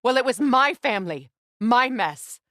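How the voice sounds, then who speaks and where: A woman playing a proud, wounded warrior goddess and queen in a video game, measured, regal and dry. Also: a little high, lively intonation, fairly bright tone, no room sound. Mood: bitter, angry. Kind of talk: dramatic video game voice acting.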